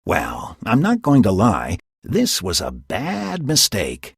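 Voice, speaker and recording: A man voicing a mischievous, scatterbrained male sprite who chats in giggly asides, with a breathy voice. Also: lowish voice, wildly swooping pitch, takes it slowly, no room sound, clean studio sound, exaggerated voice. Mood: amused.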